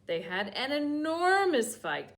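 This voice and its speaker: A woman reading a children's picture book aloud with lively, expressive character voices. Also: high-pitched, takes it slowly, loud music behind the voice. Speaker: children's story reader. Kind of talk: storybook read-aloud. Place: church.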